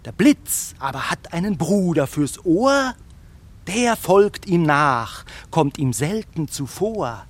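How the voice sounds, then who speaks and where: A man's voice: a little high, very animated, full character voice. A man playing a plucky but anxious little fairy-tale tailor with theatrical delivery in a children's radio play. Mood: reciting solemnly. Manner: theatrical, deliberate when reciting.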